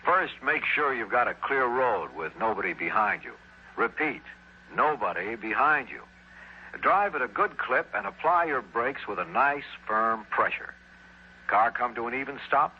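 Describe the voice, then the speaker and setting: A man's voice: mid-range voice, lively intonation, unhurried, slight background noise. A man with an American accent giving folksy step-by-step instructions in a 1960s radio commercial. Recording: dull vintage radio recording.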